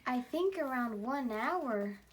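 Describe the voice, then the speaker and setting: Woman voicing a high-pitched bold little mouse explorer. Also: expressive, takes it slowly, breathy edge, heavily acted, rough recording. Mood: eager, insistent. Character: bold young female mouse explorer, defiant and restless.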